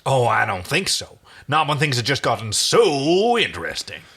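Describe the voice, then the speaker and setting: Man doing a manic male space hero, loud, mocking, sing-song, husky voice, pitch swinging widely. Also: animated, measured pace. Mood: manic taunting. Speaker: fan fiction reader.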